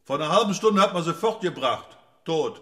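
A man's voice: a little high, lively intonation, brisk, a bit bright. An actor playing a blunt, worn-out man who talks in terse, clipped fragments in a radio drama. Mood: bleak, fed up. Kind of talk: German radio drama acting.

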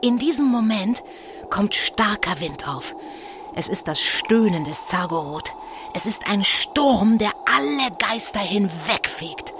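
A woman playing an excitable eyewitness narrator in a children's spooky audio drama, telling it as suspenseful live commentary. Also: medium pitch, slow, background noise. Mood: suspenseful, awed. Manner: suspenseful, shifting from whispers to cries. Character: excitable, fearful female eyewitness narrator of a ghost battle.

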